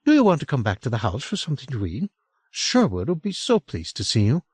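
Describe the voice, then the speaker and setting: Chatty middle-aged woman, warm and teasing, lilting delivery with lively rises; a male narrator puts her on, dry close sound. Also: mid-range voice, brisk, breathy edge, dry recording, voice pushed up. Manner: lilting and chatty, drops to a hush. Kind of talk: audiobook character voice.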